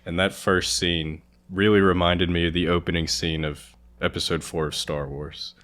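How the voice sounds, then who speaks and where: A young man with a low-pitched voice talks as a guest on a review show. His delivery is casual and conversational, like an informal discussion between friends. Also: level tone, normal speed, fairly bright tone.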